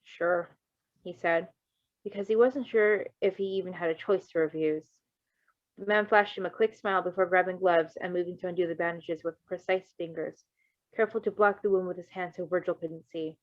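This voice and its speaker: Female story reader, expressive narration, playful commentary. Dry, slightly lo-fi recording. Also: on the low side, brisk, dry recording. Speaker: fan fiction reader.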